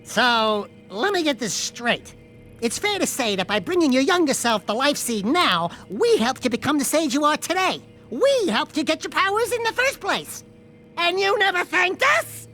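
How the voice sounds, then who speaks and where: An actor playing a small, wisecracking furry animal sidekick in a cartoon-styled video game, brash and indignant. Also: male voice, very high-pitched, sing-song, moderate pace, bright, forceful tone, full character voice. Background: music.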